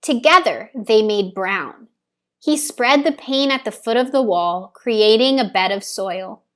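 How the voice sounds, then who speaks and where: A woman narrates a picture book for young children. Her voice sits a little high, and she reads very slowly, with careful, deliberate phrasing. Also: expressive, fairly bright tone. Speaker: storybook reader. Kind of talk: children's story read-aloud, scripted narration.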